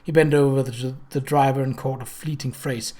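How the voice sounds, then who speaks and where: A man's voice: mid-range voice, fairly even intonation, normal speed, darkish tone. Man narrating a dramatic period story. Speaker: audiobook reader.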